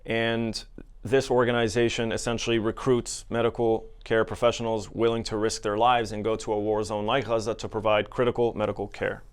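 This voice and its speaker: A man's voice: fairly low pitch, little pitch movement, brisk, smooth. A man hosting a podcast interview, politely checking facts with his guests. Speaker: podcast host.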